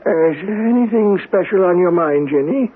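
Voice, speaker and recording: An actor playing a stubborn, haunted old uncle, crusty, halting and dismissive yet fond, in a radio drama. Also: male voice, high voice, animated, breathy edge. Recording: tinny noisy old radio broadcast.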